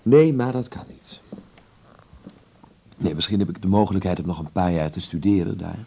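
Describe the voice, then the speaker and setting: A man's voice: deep voice, expressive, normal speed, vocal fry, mellow, breathy edge, exaggerated voice. An actor playing a restless, ambitious young man of twenty-one in a naturalistic radio play, talking casually and reassuringly to his mother. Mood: determined. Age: young adult.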